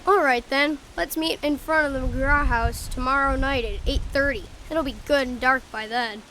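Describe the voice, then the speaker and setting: A woman's voice: high-pitched, steady tone, normal speed, a bit bright, a little hiss or hum, poor audio. A young female-sounding performer playing a brash, taunting boy prankster in an amateur radio drama. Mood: scheming. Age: child. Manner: brash, teasing.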